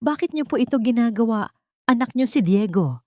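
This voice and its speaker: A woman's voice: a little high, animated, fairly quick, a little mellow, no echo, studio quality, pitched above the performer's own voice, lightly acted. Woman voicing an anguished, pleading young maid. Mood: pleading, pained. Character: anguished, self-sacrificing young maid in love. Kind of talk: audio drama acting.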